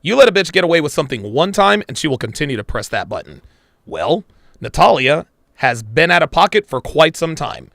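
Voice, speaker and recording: A man's voice: on the high side, very animated, fairly quick, gravelly, a bit bright, dry recording, clean studio sound. A man hosting a live reaction stream, blunt and crude, swearing and talking to his chat viewers. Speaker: podcast host reacting to a clip.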